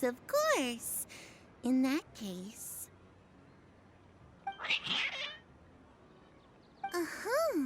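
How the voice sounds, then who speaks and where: A woman voicing a theatrical, mystical fortune teller in a video game, with a grandiose, archaic, drawn-out delivery. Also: high-pitched, noisy background, heavily acted. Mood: knowing.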